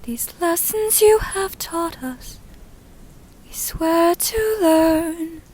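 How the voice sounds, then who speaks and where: A solemn young woman from a storybook, acted out by a woman narrator, sings a ritual chant. Her voice is high, with very slow, drawn-out phrases. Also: animated, a little mellow, exaggerated voice, poor audio. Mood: solemn, reverent.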